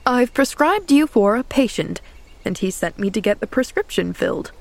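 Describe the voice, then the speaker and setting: A woman voicing a grave, gentle male doctor in a storybook, speaking in a quiet, hesitant, courteous way. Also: fairly high pitch, big pitch swings, moderate pace, creaky.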